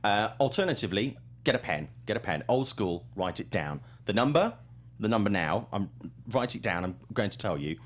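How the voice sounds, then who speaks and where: A man's voice: on the low side, big pitch swings, normal speed. A man hosting a movie news talk show, chatting casually in an informal, wry and blunt way. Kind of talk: casual panel chat.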